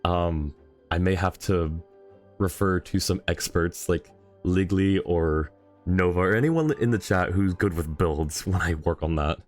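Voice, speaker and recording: A man's voice: deep voice, unhurried, faint music behind. A man livestreaming a game in a casual, chatty way, thinking aloud and talking to his chat.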